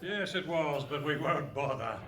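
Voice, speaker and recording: A man's voice: fairly high pitch, expressive, normal speed, fairly bright tone. A man playing a wry, bantering announcer in a comic radio play. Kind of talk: comic scripted narration before live audience. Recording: echoey hall, slightly distant mic.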